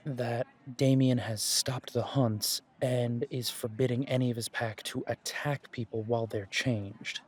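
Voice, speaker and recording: A man's voice: medium pitch, breathy edge. A man playing a caring, easygoing werewolf pack leader in an audio roleplay, talking in a casual, conversational way with trailing phrases. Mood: defensive, earnest.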